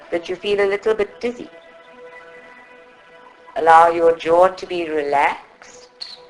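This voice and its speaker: A woman from South Africa leading a guided meditation in a soothing, instructive manner, with long pauses between short phrases. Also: lowish voice, low-quality recording. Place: live group meditation session at a centre.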